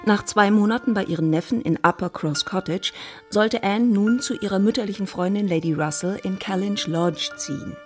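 A woman's voice: medium pitch, moderate pace, soft background music, natural voice. A woman acting as the composed storyteller of a period novel, giving scripted literary narration in a German radio drama.

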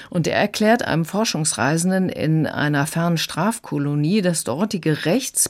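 Low-voiced woman reviewing audiobooks. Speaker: book reviewer. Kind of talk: prepared radio review in conversation.

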